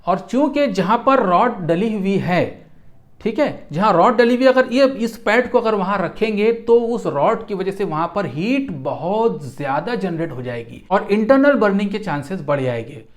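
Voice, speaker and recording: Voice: medium pitch, animated, normal speed. An instructor giving an explanatory medical lecture in a didactic way, restating terms and repeating phrases. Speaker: instructor in physiotherapy and Unani regimenal therapy.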